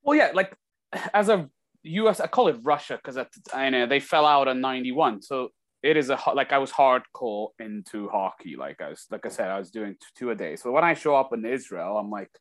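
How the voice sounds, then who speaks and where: Man, from Kazakhstan, medium pitch, interview guest, casual anecdotal storytelling, acts out dialogue. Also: brisk. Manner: animated retelling with acted-out dialogue. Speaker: interview guest, former hockey player.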